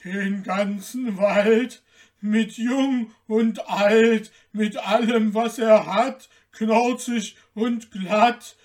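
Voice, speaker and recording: A man voicing a huge, gruff, gullible old giant from a fairy tale, with a booming, grumbling, drawn-out delivery. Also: fairly even intonation, a little mellow. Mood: grumbling, alarmed. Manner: slow, drawn-out, emphatic delivery.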